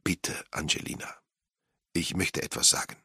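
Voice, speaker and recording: A man voicing a gentle, hesitant Italian man explaining himself in halting, broken speech for an audiobook. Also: low voice, slightly rough, dry recording, clean studio sound, exaggerated voice. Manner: hesitant, soft-spoken, halting.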